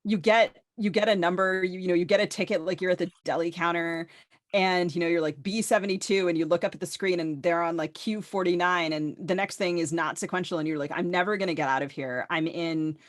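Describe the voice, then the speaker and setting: Low-pitched woman host, casual and wry. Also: steady tone, a little fast, no echo, clean studio sound. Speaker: podcast host. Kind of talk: casual conversational storytelling and questioning.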